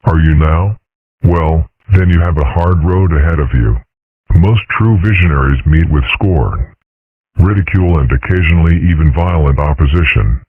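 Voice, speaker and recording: A man voicing a sage, genial father figure in a comic strip dub, measured and gently dry as he lectures. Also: extremely low pitch, husky, no echo. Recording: muffled, phone-like narrow audio.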